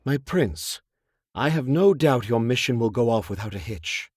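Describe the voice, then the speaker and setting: A man playing a deferential, scheming retainer in a video game, courtly and flattering, with a husky voice. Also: medium pitch, moderate pace, no room sound, studio quality, lightly acted. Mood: fawning. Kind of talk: video game cutscene acting.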